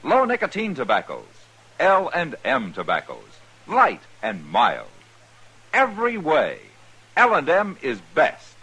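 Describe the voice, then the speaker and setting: A man's voice: on the high side, huge pitch swings, unhurried, sharp tone, slightly rough, rough recording. An American man announcing a 1950s radio commercial in a punchy, emphatic style.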